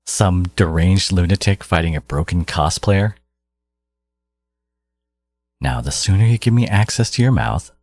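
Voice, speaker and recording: A man playing a menacing, condescending genius supervillain in an audio roleplay, exasperated and obsessive yet caring, with a low, gravelly, husky voice. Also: a little mellow, dry recording, exaggerated voice. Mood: intense, bitter. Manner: condescending, menacing, theatrical, slipping into whispers. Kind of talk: dramatic second-person audio roleplay monologue.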